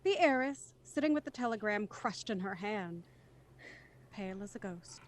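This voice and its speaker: A woman narrating a period radio play in a measured, descriptive way. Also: medium pitch, lively intonation, lightly acted. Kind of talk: scripted narration. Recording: dry close studio sound.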